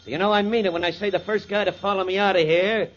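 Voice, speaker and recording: A man's voice: high-pitched, fairly even intonation, fast, a bit bright. A male actor playing a cocky, smugly polite masked robber who commands and taunts, in 1940s radio crime drama style. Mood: smug, threatening. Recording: noisy muffled 1940s radio recording.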